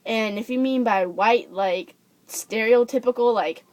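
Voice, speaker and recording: A woman's voice: on the high side, measured pace, no echo, rough recording. A young woman from Japan, chatting casually into a camera and ranting, full of fillers and restarts. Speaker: vlogger.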